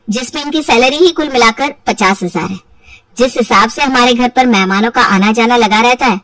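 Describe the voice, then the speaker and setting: A woman's voice: fairly high pitch, a bit bright, dry recording, recorded from a distance, natural voice, poor audio. A woman voicing a shrewd young daughter-in-law in a cartoon moral story, speaking politely but pointedly. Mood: exasperated. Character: shrewd young daughter-in-law, sweetly sly, weary of taunts.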